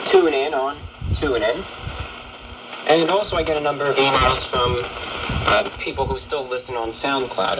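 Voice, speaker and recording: A male radio host talks in a steady, earnest monologue, with occasional hesitations and lines he stresses firmly. His voice sits in an ordinary middle pitch. It comes through a dull, narrow shortwave receiver with clear static hissing underneath. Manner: earnest, steady delivery with frequent "uh" fillers, rising to emphatic stresses. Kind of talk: radio talk show monologue.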